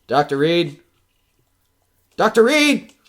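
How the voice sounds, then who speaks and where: Actor as a flippant, animated ghost-hunt radio man. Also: sits high, takes it slowly, full character voice. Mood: startled, alarmed. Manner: casual, flippant, hesitant filler-strewn delivery. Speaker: host of a ghost hunt recording. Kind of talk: audio horror drama acting, live on-the-scene narration.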